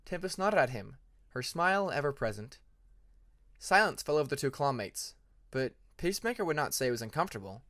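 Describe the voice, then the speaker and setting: A man's voice: mid-range voice, sing-song, brisk, a bit bright. A man narrating fantasy fiction as an audiobook reader.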